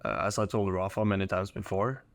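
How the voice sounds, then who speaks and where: Low, close-miked young man. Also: expressive, a little fast, creaky, no room sound. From Norway. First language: Norwegian. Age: young adult. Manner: informal, slangy. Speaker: podcast guest, college soccer player. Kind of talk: casual interview chat.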